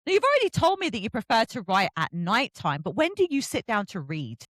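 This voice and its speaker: A middle-aged woman hosts a chatty interview. Her voice sits fairly high and swings up and down a great deal as she tells animated, self-mocking stories. The sound is dry and close. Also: fairly quick, dry recording. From England. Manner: animated, self-deprecating storytelling. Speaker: podcast host interviewing an author.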